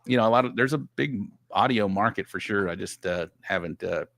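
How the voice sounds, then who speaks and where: Low-voiced man rambling on a video call. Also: brisk, gravelly, a bit bright, no room sound, clean studio sound. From Texas. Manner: rambling with many restarts, laughs easily. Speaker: guest podcast host. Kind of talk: casual livestream chat. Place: home office.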